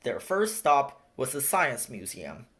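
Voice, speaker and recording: A storybook reader giving an expressive read-aloud narration for children. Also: mid-range voice, slow.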